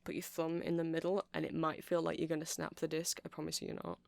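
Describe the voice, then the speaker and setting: A woman's voice: low voice, monotone, fast, gravelly, no room sound, studio quality. A woman giving a rambling, chatty ASMR demonstration, explaining things step by step. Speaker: ASMR creator.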